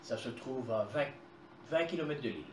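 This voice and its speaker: A middle-aged or older man answers interview questions plainly and briefly. His pitch is average. He is heard in a reverberant room with clear background noise. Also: lively intonation, a little fast, darkish tone, recorded from a distance. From Belgium, Tournai area. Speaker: interview guest, genealogist and author.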